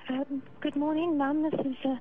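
A woman on a thin, muffled phone line. She speaks as a nurse, formal and careful, in a slightly high, hesitant voice. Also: flat delivery, moderate pace. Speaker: hospital nurse. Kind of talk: polite, formal phone talk.

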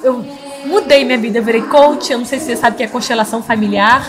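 High-voiced emotional woman podcast host. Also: fast, music in the background. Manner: warm, emotional, laughs often. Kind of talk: casual interview chat. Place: small studio in front of a logo wall. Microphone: studio mic on boom arm.

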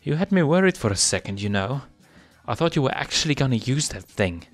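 Spunky young lioness cub, high-pitched; male reader putting her on. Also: sing-song, a little fast, soft background music.

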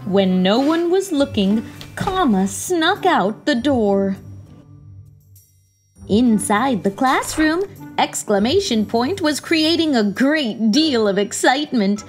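Slow, high-pitched woman reading a storybook. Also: expressive. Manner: theatrical, deliberate. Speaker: children's read-aloud host. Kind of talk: expressive storybook narration. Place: small indoor room. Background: music.

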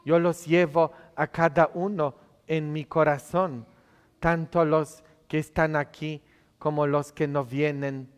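A man's voice: fairly high pitch, clean tone. A priest in his thirties, not a native Spanish speaker, preaching a conversational homily full of tag questions from the altar of a church. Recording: long church reverb.

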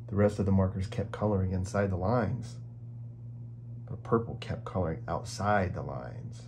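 Low, mellow man reading a picture book. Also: moderate pace, slight background noise. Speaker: story reader. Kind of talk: children's picture book read-aloud.

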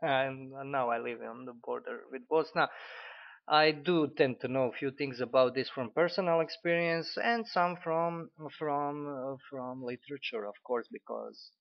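A man in his forties from Croatia with a Croatian accent, critiquing an article in halting speech with many fillers and restarts. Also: medium pitch, vocal fry. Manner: hesitant, frequent fillers and restarts. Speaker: commentator. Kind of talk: unscripted spoken critique. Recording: dull lo-fi audio.

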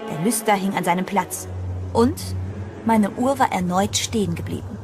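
A woman's voice: mid-range voice, moderate pace, natural voice. An actress playing a frightened young woman who narrates her ordeal in a horror audio drama, dramatic and suspenseful. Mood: tense, suspenseful. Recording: music behind the voice.

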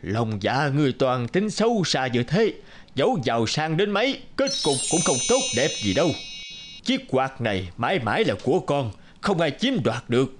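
A man voicing a benevolent old bearded sage in an animated folktale, solemn and moralizing. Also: mid-range voice, moderate pace, a little hiss or hum, natural voice.

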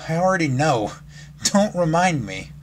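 A man's voice: a little high, animated, a little slow, fairly bright tone. A man voicing a hot-headed, heartbroken young female pegasus pony in a story, snapping and demanding with emphasis. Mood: hurt, insistent. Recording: clearly noisy background.

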